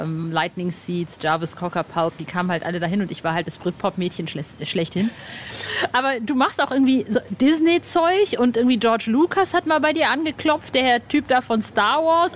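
A middle-aged woman hosting an interview as casual chat, informal and enthusiastic in the way she talks. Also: mid-range voice. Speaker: podcast interviewer, music journalist. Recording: noisy background ambience.

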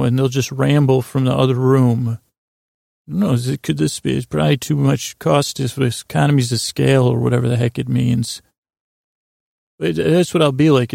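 Very gravelly, monotone man rambling softly along. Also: mid-range voice, no room sound, studio quality. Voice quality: gravelly, creaky. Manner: meandering, halting, trailing off mid-thought. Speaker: podcast host. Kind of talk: rambling bedtime podcast monologue.

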